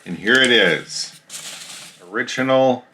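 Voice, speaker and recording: A man's voice: mid-range voice, lively intonation, slow pace, bright, forceful tone, slight background noise, rough recording. A man casually narrating an unboxing of a radio key, explaining each part plainly as he shows it.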